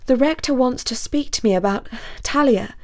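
A woman's voice: fairly high pitch, unhurried, darkish tone, voice pushed up, lightly acted. A woman voicing an earnest, lovestruck young male student in a story, impulsive and faltering, with a raised voice. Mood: breathless, urgent.

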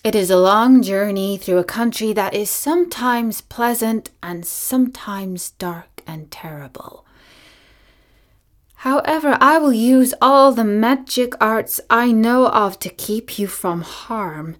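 A woman voicing a kindly little old witch woman who speaks gently and sometimes in a whisper. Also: fairly high pitch, lively intonation, takes it slowly.

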